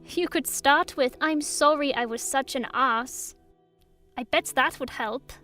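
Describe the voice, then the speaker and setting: A woman's voice: high voice, measured pace, bright, forceful tone, soft background music. A woman playing a grave, blunt little girl in an audio drama, frank and reproachful. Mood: blunt, incredulous.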